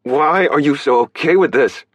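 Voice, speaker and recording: A man's voice: deep voice, normal speed, dry recording, lightly acted. A man playing a guilt-ridden, defensive man in an audiobook, guarded, stubborn and pained. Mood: exploding. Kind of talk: audiobook character dialogue.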